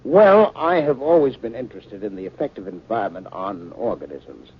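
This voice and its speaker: An actor playing an earnest, respectful young scientist explaining himself in 1950s radio drama style. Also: male voice, fairly low pitch, lively intonation, moderate pace, slightly rough. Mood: eager, earnest. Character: earnest, deferential young male scientist inventor. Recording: muffled 1950s radio recording.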